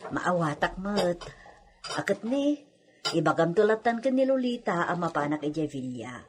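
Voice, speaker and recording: A woman acting a wealthy, gracious middle-aged mother in a radio drama, with a husky voice. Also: lowish voice, very animated, normal speed, slight background noise. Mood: gentle, reassuring. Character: wealthy, gracious mother who shuns formal titles.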